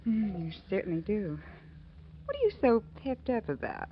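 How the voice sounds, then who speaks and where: A woman's voice: medium pitch, huge pitch swings, normal speed. A woman acting a coy, playful gangster's girlfriend and showgirl in 1940s radio style. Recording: muffled, noisy vintage 1940s radio recording.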